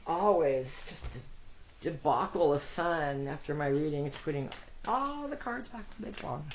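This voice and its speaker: Woman, congested rough voice, chatty tarot reader, slightly low, faint background hiss. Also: expressive, normal speed. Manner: chatty, self-deprecating, talks to cards and viewers. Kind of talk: casual solo tarot reading. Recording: dull lo-fi audio with faint noise.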